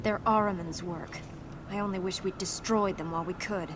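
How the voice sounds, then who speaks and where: A woman's voice: medium pitch, steady tone, normal speed, noisy background, natural voice. An actress playing an earnest, resolute young female guardian in a video game, speaking seriously and purposefully. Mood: grave. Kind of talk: video game dialogue acting.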